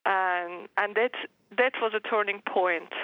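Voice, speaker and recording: A woman's voice: mid-range voice, unhurried, no room sound, narrow, tinny audio. A woman whose first language is Turkish, earnestly telling her personal faith story as an interview guest, restarting sentences often. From Turkey.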